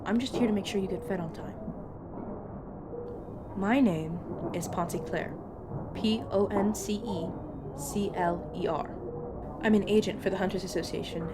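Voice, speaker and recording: A woman's voice: fairly low pitch, natural voice. A woman playing a weary, apologetic supernatural agent in an audio roleplay, explaining things patiently with hesitant, casual asides. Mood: calming, patient. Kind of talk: narrative audio roleplay acting, addressed to listener. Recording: clearly noisy, background ambience effects.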